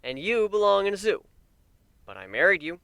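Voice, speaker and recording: A man voicing a good-humoured, caring male pony husband in a fan fiction reading, casual and gently wry. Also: high-pitched, very animated, a little fast, creaky, no echo, voice pushed up, exaggerated voice. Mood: wry, teasing.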